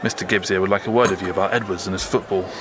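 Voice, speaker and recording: A man plays a First World War sergeant in an audio drama. His voice is on the low side and plain-spoken. Noisy outdoor ambience sits behind it. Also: steady tone, racing, crowd noise, lightly acted. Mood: curt, curious.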